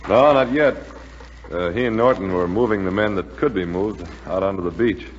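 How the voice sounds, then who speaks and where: Deep-voiced practical airman, played by an actor. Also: moderate pace, natural voice. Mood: wry, practical. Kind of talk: 1940s radio drama acting. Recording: dull, noisy, echoing 1940s radio recording.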